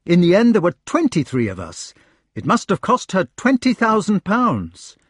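A man voicing an excited, affectionate storybook knight, gushing and exclaiming as he speaks. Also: high voice, moderate pace, breathy edge, no echo, clean studio sound, voice pushed up, exaggerated voice. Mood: eager, questioning. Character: excited, affectionate knight searching for his lost friend. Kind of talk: storybook character voice.

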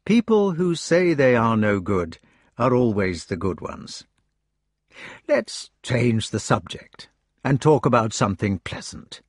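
A gentle, fatherly old king, as a male reader voices him, talking in a soft, soothing way with a lively rise and fall. His husky voice is slow and recorded dry and close. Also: medium pitch, no echo, full character voice. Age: older.

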